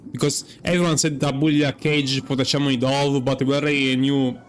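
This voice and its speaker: A man giving casual, halting commentary as he plays a game, thinking aloud and repeating himself. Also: medium pitch, flat delivery, clean tone. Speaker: gaming streamer.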